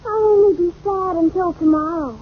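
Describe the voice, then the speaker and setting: A woman's voice: extremely high pitch, moderate pace. An actress playing an earnest little girl who believes in fairies, in a 1940s radio comedy. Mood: matter-of-fact. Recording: noisy old radio recording, muffled.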